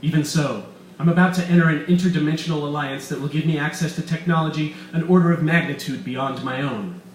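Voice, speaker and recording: A man's voice: fairly high pitch, fairly quick, pitched above the performer's own voice, close to an everyday voice. Villain for live story reading: man playing pompous, gloating evil genius, theatrical and boastful, echoey room. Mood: grandiose. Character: gloating male mad-scientist villain, grandiose schemer. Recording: roomy echo, distant mic, faint room noise.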